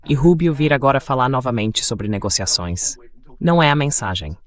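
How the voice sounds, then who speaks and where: A medium-pitched male AI dubbing voice lays out political analysis. It speaks as a commentator in a discussion. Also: very animated, normal speed. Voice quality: synthetic AI dubbing voice.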